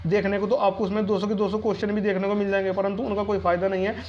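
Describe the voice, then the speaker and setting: A man's voice: high voice, flat delivery, rapid-fire, slight background noise. A man giving informal advice to viewers as a channel host, repeating his points for emphasis. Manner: repetitive, emphatic with self-posed questions. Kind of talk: informal advisory talk to viewers. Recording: dull, lo-fi sound.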